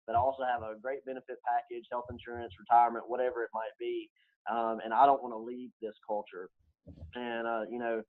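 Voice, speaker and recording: A man giving conversational advice as a guest financial advisor. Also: mid-range voice. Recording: tinny phone-like narrow band sound.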